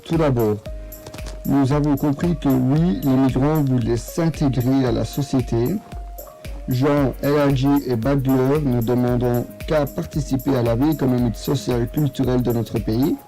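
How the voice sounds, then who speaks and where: Mellow young man reading narration. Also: mid-range voice, steady tone, normal speed, rough recording. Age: young adult. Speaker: student narrator. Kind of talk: scripted narration. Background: faint music.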